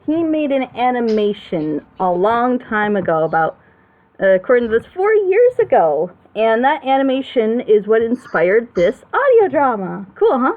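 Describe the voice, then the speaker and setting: Female host, casual group chat, high register, very animated delivery. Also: moderate pace, phone-quality sound. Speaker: co-director and host of a group reaction show.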